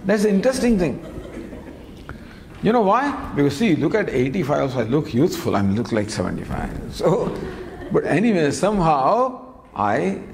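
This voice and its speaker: A man teaches scripture to an audience, asking question after question with a light touch of humor. His fairly high voice leaps up and down, with a gravelly edge at the ends of phrases. The sound carries a long hall reverb and a faint hum behind it. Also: normal speed. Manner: lively, gently humorous teaching with rhetorical questions. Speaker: spiritual teacher. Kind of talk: discourse on scripture.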